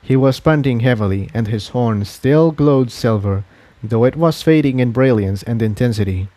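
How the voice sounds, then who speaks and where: A man's voice: medium pitch, moderate pace, dark tone, no echo. A man reading an adventure story aloud as scripted narration.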